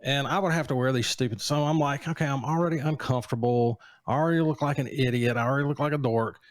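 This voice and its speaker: A man's voice: mid-range voice, fairly even intonation, quick pace, no echo. A man in his late forties co-hosting a casual chat, teasing with dry jokes and banter. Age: 40s. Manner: wry, joking banter. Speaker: podcast co-host.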